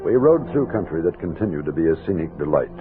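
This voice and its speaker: An American actor playing a steady, commanding army captain of a frontier expedition in an old-time radio drama. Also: male voice, low-pitched, fast, narrow, tinny audio, loud music behind the voice. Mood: reflective narrating. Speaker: lead actor and narrator. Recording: thin, noisy vintage radio recording.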